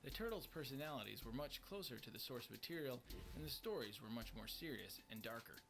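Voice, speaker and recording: A man's voice: mid-range voice, expressive, a little fast, creaky. A man reading scripted countdown narration as the narrator of a list video. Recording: music bed under the voice. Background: background music.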